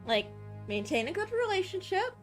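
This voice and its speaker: High, lively woman streamer. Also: moderate pace, soft background music. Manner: reactive, thinking aloud. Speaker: game streamer. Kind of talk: casual gameplay commentary.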